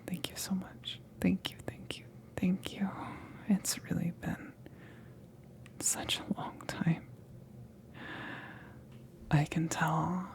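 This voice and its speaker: A woman voicing a flustered, needy female alien crewmate in an ASMR roleplay, with halting, nervous, breathy whispers. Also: on the low side. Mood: grateful, eager.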